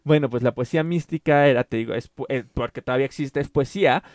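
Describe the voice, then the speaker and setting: A man's voice: medium pitch, quick pace, darkish tone, no room sound. A man walks a co-host through literary history in a casual podcast conversation. He explains patiently, circling back with fillers and self-corrections. His voice sits in a middle register, recorded close and dry.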